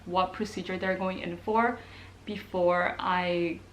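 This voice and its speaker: A woman's voice: fairly low pitch, steady tone, takes it slowly, poor audio. A young woman from Korea, chatting casually to camera as a nursing student vlogger, with plenty of ums and likes. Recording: faint background noise.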